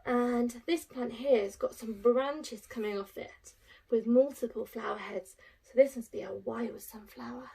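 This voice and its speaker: High-voiced woman teacher reading to children. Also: unhurried, vocal fry, mellow, breathy edge, rough recording. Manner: addresses young children, explaining simply with rhetorical tag questions. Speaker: infant school teacher reading to pupils. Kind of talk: children's read-aloud with explanatory asides.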